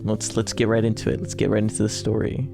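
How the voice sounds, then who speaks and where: A man's voice: lowish voice, monotone, quick pace, gravelly, music in the background. A man hosting a gaming video, switching between casual joking chat with a friend and reading a visual novel's narration aloud.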